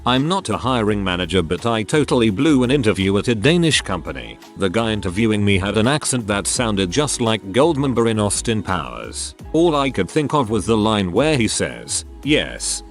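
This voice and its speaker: Lively low-voiced male story narrator. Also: moderate pace, hardly any pauses. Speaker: narrator reading online stories. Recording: faint music underneath.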